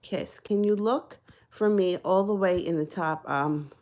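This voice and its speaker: A woman's voice: on the low side, a little slow, darkish tone. A woman giving live-stream tarot readings in a casual, chatty, reassuring way, a little out of breath and slightly nasal from congestion. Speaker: tarot card reader.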